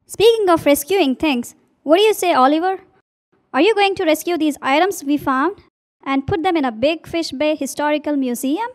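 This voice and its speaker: A woman's voice: sits high, normal speed, natural voice. A woman voicing a practical, caring grandmother in a children's puppet show. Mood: friendly.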